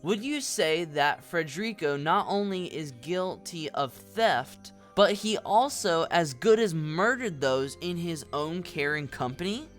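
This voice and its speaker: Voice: medium pitch, expressive, measured pace, hardly any pauses, faint music behind, close to an everyday voice. An actor playing a formal, accusatory prosecuting lawyer with an oratorical delivery in an audio drama. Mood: probing. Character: formal, theatrical prosecuting lawyer in a fantasy court. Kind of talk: scripted audio drama courtroom speech.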